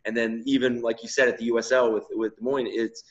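A male co-host of middle pitch speaks casually in long, winding thoughts and often restarts his phrases. The audio sounds dull and lo-fi. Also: flat delivery, a little fast. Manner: discursive, restarts mid-sentence. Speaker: podcast co-host, coach. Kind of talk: casual podcast chat. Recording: muffled lo-fi audio.

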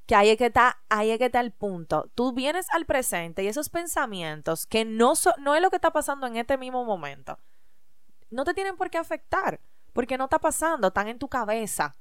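Female co-host with a smooth, clear voice, thoughtful and emphatic, dry close sound. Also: on the high side, big pitch swings, fairly quick, no room sound. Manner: reflective, emphatic, gently encouraging. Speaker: podcast co-host. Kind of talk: casual chat.

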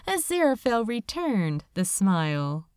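A woman narrates a story tenderly and dramatically. Her phrasing is slow and deliberate, full of pauses, with pitch that rises and falls widely. The sound is dry and close. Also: sing-song, darkish tone, clean tone, no echo. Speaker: amateur audiobook reader.

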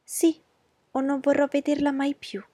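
Woman doing a shrill fretful mother. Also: completely flat delivery, a little slow, dark tone, no room sound. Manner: high-pitched, agitated, pleading.

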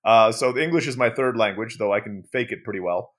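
A quick-talking man with an American-sounding accent, though his first language is Turkish. He chats freely as a podcast guest and laughs often. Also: lowish voice, fairly bright tone. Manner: chatty, laughs easily. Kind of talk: casual interview chat.

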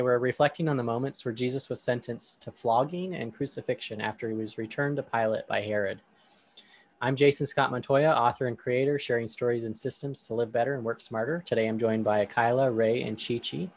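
A man hosting a podcast discussion, talking in a relaxed way with frequent ums, then reading a Bible passage aloud. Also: mid-range voice, fairly quick, no room sound. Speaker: podcast host.

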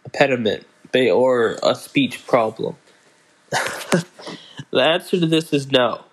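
A young man talks slowly and casually as the host of his own podcast. He often restarts his sentences and stumbles over his words. His voice sits at an ordinary pitch for a man. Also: lively intonation, poor audio. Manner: halting, restarts and fillers, slow unhurried delivery. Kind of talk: casual solo podcast announcements.

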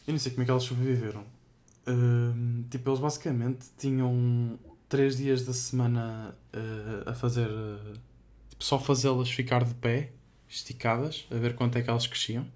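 A man's voice: medium pitch, steady tone, normal speed, a little mellow. A young man with a European Portuguese accent chatting casually as a podcast co-host, rambling and hesitant, with many fillers.

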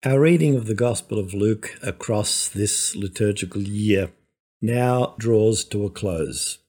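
A male priest and professor giving a scripted gospel reflection in a measured, explanatory manner. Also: on the low side, warm tone, slightly rough.

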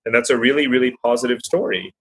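A man's voice: mid-range voice, fast talker, a bit bright, poor audio. A man speaking as an interview guest and author, giving conversational expert commentary in an articulate, explanatory way with emphatic repetition.

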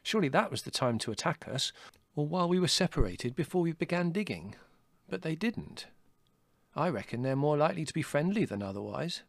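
Guy doing a sharp young rabbit in a storybook, higher voice, talks it through, dry close sound. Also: animated, brisk, creaky, no room sound. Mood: reasoning. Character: clever young male rabbit, thoughtful.

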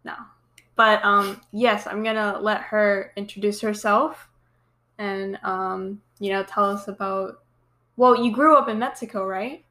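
A young American woman hosts a relaxed podcast chat. Her speech is loose and filled with ums and "like", and her voice sits at an ordinary pitch for a woman. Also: unhurried. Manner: chatty, many fillers and likes. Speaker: podcast host. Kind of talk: casual chat.

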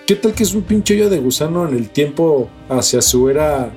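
A man's voice: a little high, expressive, normal speed, warm tone, faint music behind. A man with a Mexican accent co-hosting a paranormal news show, mixing read-out items with slangy, mildly profane, speculative commentary. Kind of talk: casual news chat, part reading and part commentary.

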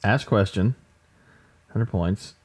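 A man's voice: on the low side, slow, darkish tone, husky. A man co-hosting a casual podcast, running a trivia game with dry, self-deprecating jokes. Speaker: podcast co-host, quizmaster. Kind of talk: joking game-show hosting.

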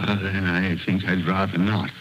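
An actor playing a crotchety, skeptical old male miser in an old radio drama, theatrical and halting, blustering and easily frightened. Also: deep voice, level tone, background noise, natural voice. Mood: anxious, bargaining. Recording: dull old radio recording with music.

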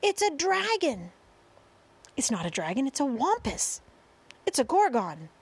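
A woman voicing frightened, astonished onlookers, policemen and firemen in a storybook, shouting excitably. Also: a little high, unhurried, husky, heavily acted. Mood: panicked.

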